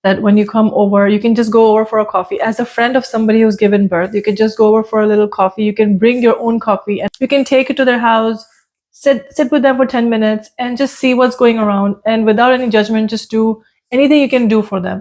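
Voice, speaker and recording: A woman's voice: on the high side, steady tone, quick pace. A woman in her thirties hosting a parenting podcast, chatting casually with many fillers and restarts. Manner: warm greeting, conversational with fillers and repeats. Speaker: podcast host.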